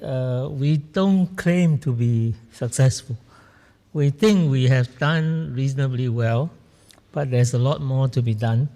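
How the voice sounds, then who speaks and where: An older man from Singapore, giving a measured, reflective conference talk with frequent pauses and fillers. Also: mid-range voice, warm tone. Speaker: guest speaker. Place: large conference hall. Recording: reverberant conference hall.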